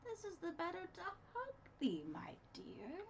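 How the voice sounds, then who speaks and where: Woman putting on a sly wolf with swooping pitch. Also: huge pitch swings, unhurried, creaky, very noisy, heavily acted. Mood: sly, menacing. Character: sly, hungry male wolf, coaxing and disguising his voice.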